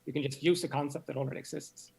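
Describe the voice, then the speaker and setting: Creaky-voiced man explaining haltingly. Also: fairly high pitch, steady tone, a little mellow. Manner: halting, stammering over repeated words. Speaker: interview guest, astronomy professor. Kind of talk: spoken explanation in an interview.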